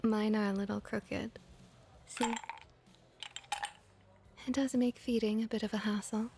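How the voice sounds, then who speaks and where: A woman playing a friendly, comforting vampire innkeeper in a soft-spoken ASMR roleplay, gentle, reassuring and often whispery. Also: on the high side, a little hiss or hum. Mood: friendly, curious.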